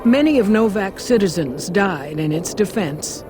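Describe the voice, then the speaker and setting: An earnest storyteller in a game epilogue. His voice is notably high for a man, with a serious narrating tone and music clearly underneath. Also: expressive, unhurried. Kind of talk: scripted game ending narration.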